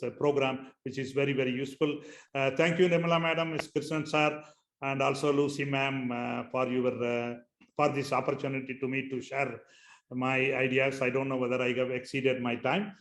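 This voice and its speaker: A middle-aged man with an Indian accent, a native Tamil speaker, giving a webinar presentation in a halting way with many pauses and fillers. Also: medium pitch. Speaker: school founder, webinar speaker. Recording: echoey room on a webinar call.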